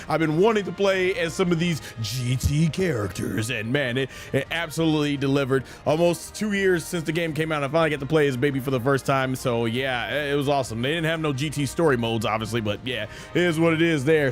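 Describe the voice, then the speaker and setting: Higher-pitched male streamer in his thirties. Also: fairly quick, few pauses, faint music behind. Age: 30s. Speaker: streamer and host of a live gaming show. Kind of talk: casual livestream chat answering viewer questions.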